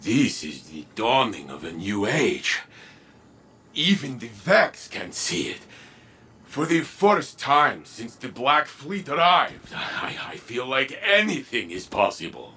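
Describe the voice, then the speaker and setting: An actor playing a big-hearted, hopeful warrior in a video game, speaking with earnest weight. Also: male voice, medium pitch, big pitch swings, slow, bright, forceful tone, full character voice. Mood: solemn, hopeful. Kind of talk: video game cutscene acting.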